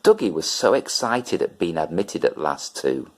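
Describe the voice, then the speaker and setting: A man's voice: low voice, very animated, normal speed. A man reading a children's story aloud as narrator. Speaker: audiobook reader.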